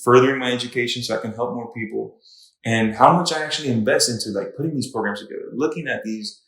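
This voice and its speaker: A man's voice: mid-range voice, a bit bright. A man who works as a fitness trainer, chatting on a podcast in an enthusiastic, encouraging way. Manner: enthusiastic, encouraging, quick to affirm. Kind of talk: casual chat. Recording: echoey room.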